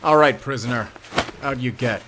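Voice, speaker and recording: A man playing a sadistic prison warden in an audio drama, gloating and mocking, with menacing, drawn-out delivery. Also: mid-range voice, noisy background, close to an everyday voice. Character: gloating sadistic male prison warden who relishes power. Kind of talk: scripted audio drama acting.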